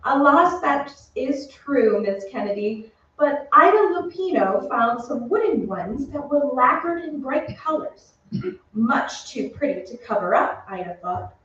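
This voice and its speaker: An actress playing a chatty, gushing Hollywood beauty reporter in a 1940s-style radio commercial on stage. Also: female voice, sits high, sing-song, measured pace, heavily acted. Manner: bright-worded, gossipy, scripted banter. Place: theatre stage, away from the mic. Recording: very echoey stage, distant, dull, faint noise.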